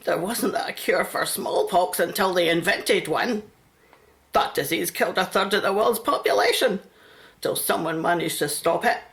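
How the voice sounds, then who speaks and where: A woman playing a caring, anxious mother and carer in a radio play, chatty and gentle, at times soft and breathy. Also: fairly low pitch, moderate pace, close to an everyday voice. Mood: worried, pleading.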